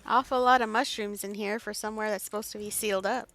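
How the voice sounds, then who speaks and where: A woman's voice: medium pitch, fairly even intonation, fast talker, fairly bright tone. A woman game streamer giving casual solo commentary, muttering reactions to herself as she plays.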